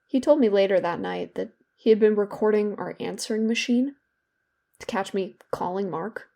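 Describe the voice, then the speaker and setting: A woman's voice: mid-range voice, sing-song, moderate pace, warm tone. A young woman playing a guilt-ridden, bitter therapy patient in a confessional, self-loathing audio drama monologue. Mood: bitter, defiant. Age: young adult.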